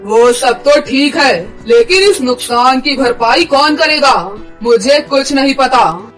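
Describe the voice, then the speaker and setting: A man playing an angry, stingy rich employer in an animated story, scolding and demanding in an imperious way. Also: extremely high pitch, takes it slowly, distant mic, soft background music, full character voice, poor audio. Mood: stern, dismissive. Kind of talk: Hindi animated moral story acting.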